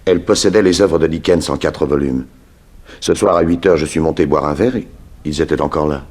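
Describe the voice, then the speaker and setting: A low, deep voice belongs to a cool, worldly detective. He speaks with dry self-assurance and calm authority, in the manner of 1960s French radio drama. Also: brisk, slightly rough. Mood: pensive, deductive.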